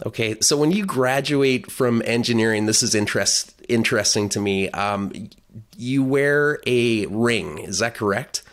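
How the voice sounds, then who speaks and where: A man's voice: mid-range voice, a little slow. A man hosting a podcast who asks a guest short, curious questions. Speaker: podcast host. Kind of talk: interviewing a guest.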